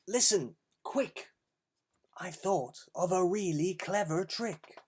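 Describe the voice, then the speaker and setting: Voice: medium pitch, slow pace, slightly rough. A reader voicing a small, clever ladybird who has thought of a trick. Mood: eager. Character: small brave spotted ladybird, quick-witted.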